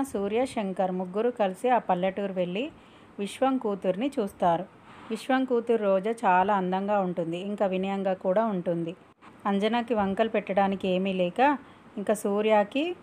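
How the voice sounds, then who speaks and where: A female storyteller reads a scripted moral tale. Her voice sits at an ordinary middle pitch for a woman. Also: darkish tone, slight background noise.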